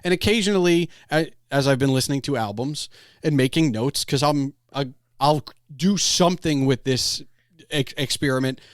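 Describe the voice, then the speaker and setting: A man's voice: medium pitch, normal speed, no echo, clean studio sound. A middle-aged man in casual podcast conversation, rambling and digressive, often breaking off his clauses to start another. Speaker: podcast host.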